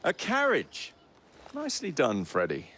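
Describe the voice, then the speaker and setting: A man's voice: a little high, wildly swooping pitch, a little slow, fairly bright tone, a little hiss or hum, full character voice. A man playing a cocky, playful young rogue in a video game, with a teasing, laid-back manner. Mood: playful, wry. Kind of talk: video game cutscene acting.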